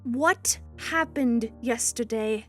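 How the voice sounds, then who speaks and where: A woman's voice: fairly high pitch, slow, fairly bright tone. A woman voicing a motherly spider monster in a comic dub, caring and firm in an earnest, coaxing way. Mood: worried, scolding. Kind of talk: amateur comic dub voice acting. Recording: music bed under the voice.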